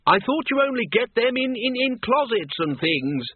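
A man voicing a bossy male head wizard in an audiobook. He blusters, snaps and shouts his orders. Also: fairly high pitch, very animated, a little slow, slight background noise, pitched above the performer's own voice. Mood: blustering. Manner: blustering and commanding. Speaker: Arch-Chancellor. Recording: narrow phone-like sound.

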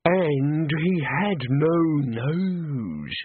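A slow-talking, husky old man, acted by a male narrator in an audiobook. He is a rustic stage doomsayer who relishes dreadful news in a drawn-out, dramatic way and turns sullen when upstaged. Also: fairly high pitch, sing-song, narrow, tinny audio, pitched above the performer's own voice, heavily acted. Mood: ominous.

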